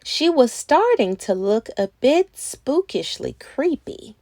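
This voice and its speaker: Female children's read-aloud host, animated storybook narration, unhurried pace, very dry close sound. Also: high voice, no echo. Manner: animated, acts out words and sound effects.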